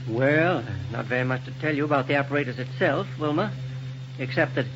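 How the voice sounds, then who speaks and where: An actor playing an excitable middle-aged inventor scientist who lectures in technical jargon, in a 1930s radio drama. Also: male voice, mid-range voice, fairly quick, natural voice. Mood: explaining. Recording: muffled 1930s radio drama recording with hiss.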